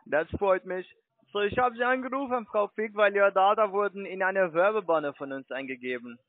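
Casting: male phone agent, high-pitched, sales-call delivery, thin dry phone line. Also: fairly quick, no room sound.

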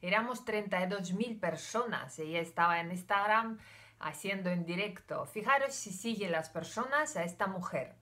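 A woman's voice: mid-range voice, expressive, normal speed, sharp tone. An older woman from Ukraine, a native Russian speaker with a Russian accent, talking casually and hesitantly to camera from a room at home. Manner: chatty, hesitant, gestures with her hands. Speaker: vlogger.

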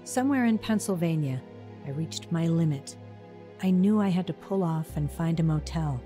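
A woman's voice: sits low, expressive, moderate pace, dark tone, soft background music. An actress narrating and playing a troubled young mother in a radio drama, close to tears and emotional. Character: troubled young mother leaving her husband. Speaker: narrator and lead character.

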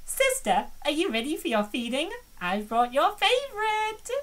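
A woman's voice: high-pitched, sing-song, normal speed. A woman playing a teasing, doting young sister who coos and coaxes smugly in a comic dub. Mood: sweetly coaxing. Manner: coaxing, cooing, smug.

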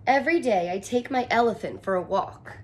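A woman's voice: a little high, lively intonation, fairly quick, darkish tone, slight background noise. A woman in her thirties, a teacher reading a picture book aloud and explaining it expressively in simple phrases for young children. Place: small furnished room indoors.

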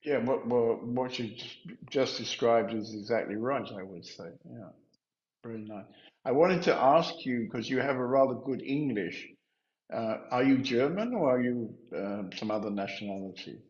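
An older man, a spiritual teacher, explaining in a measured, gently halting way on a video call. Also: mid-range voice, lively intonation. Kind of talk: informal teaching and chat. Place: room at home, indoors. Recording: echoey room, dull lo-fi sound.

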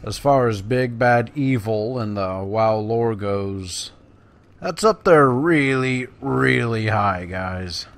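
A man's voice: medium pitch, slow. A man giving casual gameplay commentary in a self-deprecating, wry manner. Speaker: let's play host. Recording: clearly noisy, game sounds behind voice. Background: video game battle sounds.

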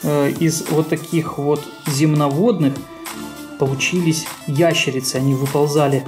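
A man's voice: a little high, flat delivery, moderate pace. A man co-hosting a children's toy unboxing, explaining simply and asking the child prompting questions. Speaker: co-host of a children's toy video. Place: small room at home. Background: music.

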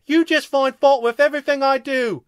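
Man playing a sulky male alien, high-pitched, emphatic, whiny, pouting, easily offended, audiobook character, dry close sound. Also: big pitch swings, a little fast, a bit bright, dry recording. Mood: indignant.

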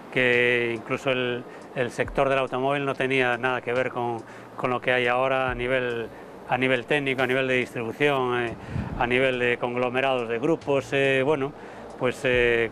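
Halting man on an outdoor terrace. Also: mid-range voice, fairly bright tone, a little hiss or hum. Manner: halting, many fillers and repeated words. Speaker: motor show director. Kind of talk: interview to camera. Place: outdoor terrace above a city port. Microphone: lapel mic.

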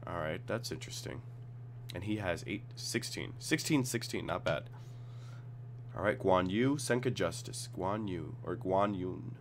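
A man with a cold and a slightly raspy voice, casually reading card text aloud and reacting as he reviews cards at his computer. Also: sits low, lively intonation, a little slow, a little hiss or hum. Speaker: card game tutorial host.

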